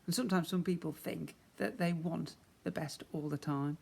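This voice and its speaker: A man's voice: on the high side, steady tone, normal speed, vocal fry, darkish tone, husky. Man reading a children's story aloud. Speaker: primary school teacher.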